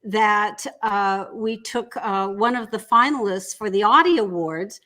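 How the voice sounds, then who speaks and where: A woman speaking as an interview guest, explaining in a measured, deliberate way and repeating points for emphasis. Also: mid-range voice, bright, forceful tone. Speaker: audiobook producer and guest. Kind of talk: conversational explaining.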